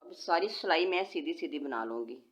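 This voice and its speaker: A woman walks through a knitting pattern step by step, patiently counting stitches aloud. Her voice sits fairly low and sounds a little changed by a sore throat. The recording is dull and lo-fi. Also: lively intonation, fairly quick. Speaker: knitting tutorial host.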